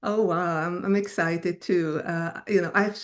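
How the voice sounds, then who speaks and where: A middle-aged woman from Belgium, a native French speaker with an Americanized French accent, telling her story as an interview guest in a halting way. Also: fairly low pitch, steady tone. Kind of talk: personal storytelling in an online interview.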